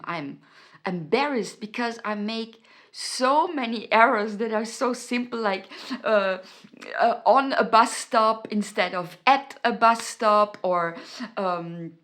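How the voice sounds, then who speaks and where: An Austrian woman talking straight to her camera, slow and unhurried, pausing to find the right word. Her tone is bright and forward. Her German roots colour each phrase. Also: medium pitch. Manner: earnest and searching for words, with many restarts. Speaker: YouTube host. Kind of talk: motivational talk to camera.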